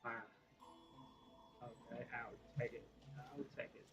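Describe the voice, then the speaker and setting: A man's voice: mid-range voice, steady tone, slow, noisy background. A man who is a game streamer, talking over music in a muffled recording. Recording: muffled voice under loud music.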